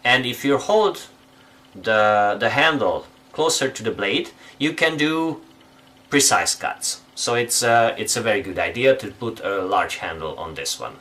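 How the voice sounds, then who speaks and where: A young Hungarian-accented man speaks English, his second language, with a lively, bouncing pitch and a bright, forceful tone. He picks his words carefully and restarts now and then. He talks straight to a camera in a small indoor room. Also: measured pace. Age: young adult. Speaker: guest reviewer. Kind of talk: product review.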